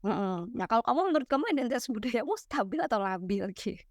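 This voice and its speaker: A young woman from West Sumatra explaining ideas in a relaxed, teacherly chat, full of fillers and tag questions. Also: on the high side, quick pace, no room sound, clean studio sound. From West Sumatra, Minangkabau. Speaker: guest explaining literary theory.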